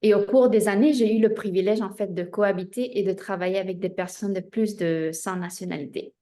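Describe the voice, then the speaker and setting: A young woman from Spain whose first language is Spanish, introducing herself at length as an interview guest, speaking in measured phrases with frequent hesitant pauses. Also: mid-range voice, steady tone, dark tone.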